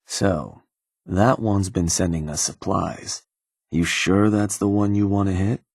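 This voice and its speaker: An actor playing a raider crew lieutenant who asks slow, doubtful questions. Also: male voice, low voice, steady tone, gravelly, a little mellow, dry recording, full character voice. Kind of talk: game voice acting. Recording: dry studio recording.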